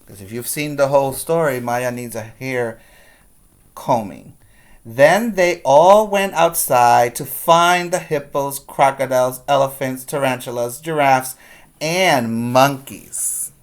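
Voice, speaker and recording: Preschool teacher doing storytime, talks real slow and simple, repeats stuff so little kids get it. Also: mid-range voice. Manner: deliberate, simple phrasing with repetition for emphasis. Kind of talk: storybook read-aloud with explanations for young children.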